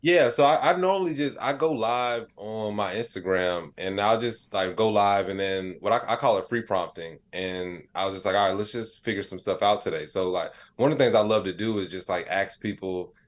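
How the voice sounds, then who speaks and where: A man's voice: lowish voice, normal speed, few pauses. An American man chatting casually as a podcast interview guest, telling stories and voicing dialogue, with lots of "like" and "you know". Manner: animated storyteller, acts out quoted dialogue, peppers talk with "like" and "you know". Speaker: interview guest, AI educator and comedian.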